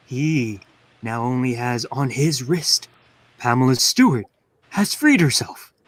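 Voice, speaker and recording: A middle-aged man playing the announcer of a 1930s radio thriller, narrating with measured suspense. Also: mid-range voice, fairly bright tone, no echo.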